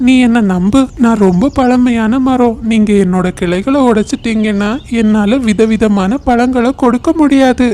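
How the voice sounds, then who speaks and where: A performer voicing a gentle, pleading ancient magic tree in a children's cartoon story. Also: mid-range voice, normal speed, hardly any pauses, close to an everyday voice.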